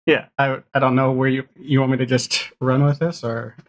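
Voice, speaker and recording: Man, 40s, podcast guest, casual chat, jokey, self-deprecating, average pitch. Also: little pitch movement, normal speed. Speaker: guest, audio drama creator and podcaster. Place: small room indoors, video call.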